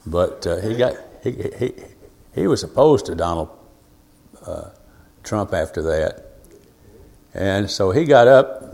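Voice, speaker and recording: Older male preacher, lowish voice, informal and meandering Bible teaching with frequent asides. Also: a little mellow. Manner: rambling, folksy, halting, full of asides and self-told anecdotes. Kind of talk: informal sermon to a congregation.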